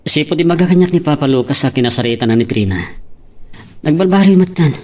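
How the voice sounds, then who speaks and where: A man's voice: fairly high pitch, lively intonation, quick pace, darkish tone, natural voice. A man playing a troubled grown son caught in a love tangle in an audio drama, speaking earnestly and conversationally. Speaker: voice actor in a drama.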